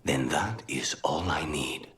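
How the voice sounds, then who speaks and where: Low, hushed, brooding assassin. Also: male voice, animated, slow pace, heavily acted. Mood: grim, resolute. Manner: hushed, breathy, often near whispering. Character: brooding, devoted male assassin, weary, intimate and resolute. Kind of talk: intimate dramatic video game dialogue. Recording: faint music underneath.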